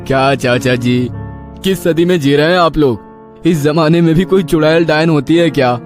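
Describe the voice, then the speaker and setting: A man's voice: on the high side, moderate pace, pitched above the performer's own voice, lightly acted. A man voicing a curious, earnest young village man in a horror story. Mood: curious, skeptical. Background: background music.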